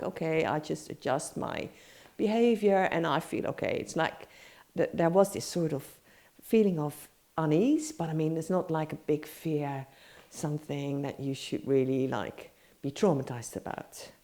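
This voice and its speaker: A woman's voice: deep voice, mellow. A middle-aged woman with a stuffy nose from a cold, rambling and correcting herself often as she teaches on her podcast. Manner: rambling, many fillers and self-corrections. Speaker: podcast host, meditation teacher. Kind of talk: casual spoken teaching.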